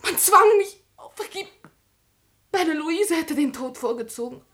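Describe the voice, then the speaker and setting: Eine Schauspielerin spielt in einem klassischen deutschen Hörspiel eine unschuldige junge Frau im Sterben, flehend, atemlos und inbrünstig deklamierend. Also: female voice, very high voice, lively intonation, measured pace, a bit bright, heavily acted. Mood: urgent pleading. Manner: breathless, fervent declamation. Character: dying innocent young woman, desperate, pleading, loving. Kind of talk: German classical radio drama.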